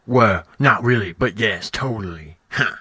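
A man's voice: lowish voice, a little slow, sharp tone, breathy edge, exaggerated voice. A man playing a cocky, self-satisfied wrongdoer in a comedy sketch, confessing in a smug, offhand way.

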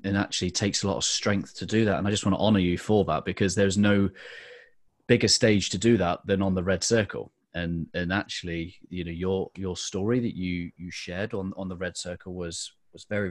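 A man with a very deep voice hosts an interview, affirming his guest and asking questions with frequent restarts. The recording is dry and close. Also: dry recording. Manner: warm affirming, halting with restarts. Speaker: interview host. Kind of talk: conversational interview.

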